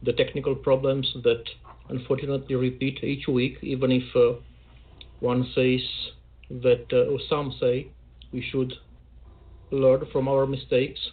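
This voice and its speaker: A man hosting a poetry show over a video call, speaking in a measured, formal way with dry self-mockery. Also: mid-range voice, flat delivery. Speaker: host. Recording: tinny call audio.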